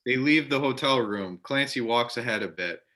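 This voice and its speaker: Male narrator for amateur play stage directions, clear-cut bright tone, medium pitch. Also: normal speed. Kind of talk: scripted narration, amateur readers theater.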